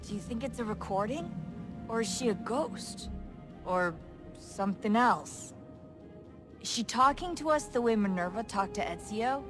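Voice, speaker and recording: A sincere young woman on a covert team in a video game. She talks in a natural, hesitant, conversational way, earnest and troubled. Music runs clearly underneath. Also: on the high side, lively intonation, unhurried. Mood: uneasy.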